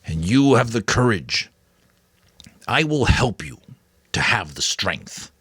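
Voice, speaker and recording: A man's voice: mid-range voice, big pitch swings, a bit bright, breathy edge, dry recording, voice pushed up, heavily acted. A man voicing a weary but firm old mentor, grave, caring and earnest, in a dramatic audiobook reading. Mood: tender, encouraging. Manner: earnest, emphatic, deliberate.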